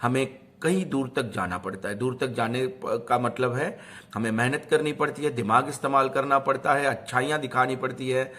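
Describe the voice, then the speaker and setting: Male storyteller, medium pitch, informal motivational delivery, explanatory with repeated phrases for emphasis. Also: moderate pace, bright, forceful tone.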